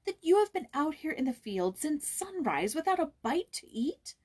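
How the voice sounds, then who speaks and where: Woman as a spirited, motherly young girl, raised pitch. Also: very animated, normal speed, a little mellow. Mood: anxious sympathy. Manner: emphatic, earnest. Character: motherly, spirited, indignant girl, older child.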